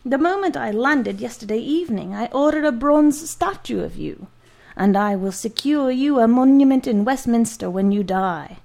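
A woman voicing a huge, jovial and grateful male giant who talks loud and exuberant in a read-aloud story. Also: a little high, animated, normal speed, vocal fry. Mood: elated.

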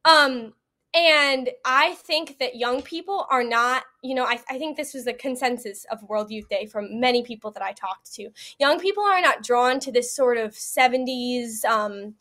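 A woman's voice: a little high, normal speed, sharp tone. A young woman giving a conversational eyewitness account as an interview guest, speaking earnestly with frequent "you know" asides. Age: young adult. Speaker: interview guest, World Youth Day attendee.

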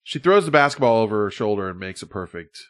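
Lowish-voiced man rambling with a swear. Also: sing-song, fast talker. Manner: rambling, swears freely, laughs often. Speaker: podcast host. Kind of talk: casual chat.

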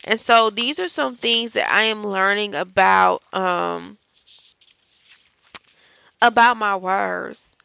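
Woman podcast host, bright, gravelly voice, chatty and encouraging, dry close mic. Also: medium pitch, takes it slowly, pauses often, dry recording. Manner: chatty and encouraging, addresses listeners as y'all and child. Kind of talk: casual faith-based podcast talk.

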